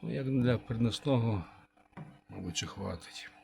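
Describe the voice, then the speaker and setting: A man giving a casual hands-on review as a channel host, halting and thinking aloud, muttering remarks to himself. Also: fairly low pitch, gravelly, heavy background noise. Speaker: channel host, radio repairer.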